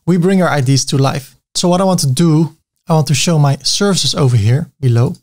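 A man's voice: fairly high pitch, fairly quick. A man with a Dutch accent giving a chatty screen-recorded web design tutorial, with self-mocking asides.

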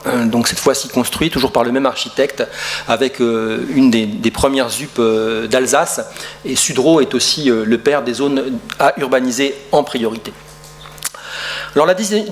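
Middle-aged man giving a hesitant lecture. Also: mid-range voice. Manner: hesitant, many fillers and restarts. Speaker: urban historian lecturer. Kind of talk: academic lecture. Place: lecture room.

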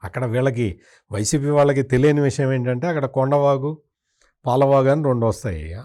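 Guy doing political analysis, medium pitch, critical and opinionated, repeats stuff to drive it home. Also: fairly quick, mellow. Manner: opinionated, critical, emphatic repetition. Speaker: political commentator being interviewed. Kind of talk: political commentary in interview.

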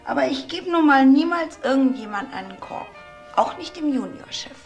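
Flippant young woman, higher-pitched. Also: animated, moderate pace. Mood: defiant. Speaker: actress in a radio crime play. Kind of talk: German crime radio drama acting. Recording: echoey, music behind the voice.

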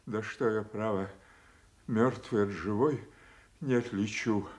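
A man playing a broken, grieving old king in a radio tragedy, declaiming in halting, anguished phrases. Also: lowish voice, steady tone, darkish tone. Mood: anguished grief. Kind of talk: classical stage tragedy acting for radio.

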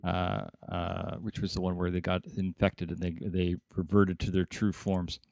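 A man's voice: sits low, monotone, normal speed, creaky, a little mellow, no room sound. A man talking as a podcast panelist in a casual debate among friends, making his points in an emphatic, discursive way.

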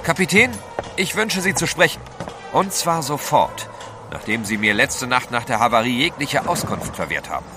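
A man plays a resolute gentleman adventurer in a radio play. His mid-pitched voice is lively and commanding yet formal, with music behind it. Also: normal speed, natural voice. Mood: indignant. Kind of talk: German audio drama acting.

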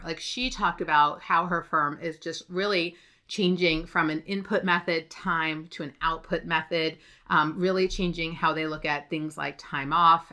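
A woman's voice: low voice, bright, forceful tone. A middle-aged American woman giving an informal, chatty update to camera as the presenter of a professional blog. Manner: chatty, conversational. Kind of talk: informal talking-head update. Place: indoors, plain backdrop.

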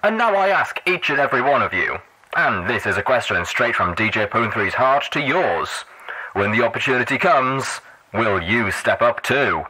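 A man's voice: medium pitch, animated, normal speed, sharp tone, slightly rough, low-quality recording. A man voicing a fervent, theatrical radio DJ pony in an audiobook, rousing and preacherly, through a radio filter. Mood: earnest.

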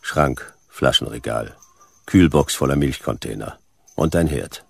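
Very deep, creaky deadpan detective. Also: male voice, measured pace, no echo, heavily acted. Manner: terse, deadpan, clipped sentences. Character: Jonas, laconic, sceptical hard-boiled male detective. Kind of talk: noir radio play narration and dialogue.

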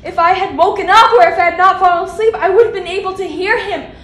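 A woman acting a dramatic monologue as a grief-stricken young nurse who blames herself, swinging between flat withdrawal and outbursts. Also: extremely high pitch, fast. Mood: self-blaming. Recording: echoey room from a distance.

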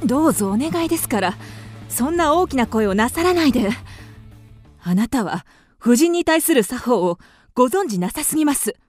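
A woman plays a proud widow in a radio drama. Her voice is somewhat high, with refined, formal diction and a haughty, easily offended air. Faint music plays behind her. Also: animated, moderate pace, darkish tone, dry recording. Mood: indignant. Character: proud, grieving young widow, haughty and indignant.

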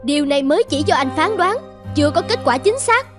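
A woman's voice: high-pitched, expressive, fast, over music. A woman voicing a polite, formal hospital staffer in a cartoon. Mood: doubtful.

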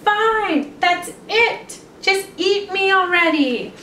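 Woman voicing an exasperated little storybook bunny, high-pitched. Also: lively intonation, slow, pushed and bright, exaggerated voice. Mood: frustrated. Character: exasperated, bargaining little male rabbit in a picture book. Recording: echoey room, distant mic, some noise.

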